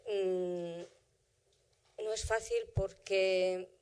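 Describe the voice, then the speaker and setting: A woman's voice: medium pitch, fairly even intonation, very slow, darkish tone, clean tone. A middle-aged woman, a native Basque speaker from the Basque Country, giving a thoughtful and carefully worded conference lecture as a historian of medicine.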